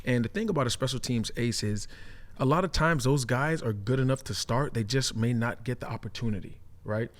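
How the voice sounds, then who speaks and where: Mid-pitched man, emphatic football talk. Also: a little fast. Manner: emphatic, punctuates points with "right?". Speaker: former player and coach, football analyst. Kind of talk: casual sports talk.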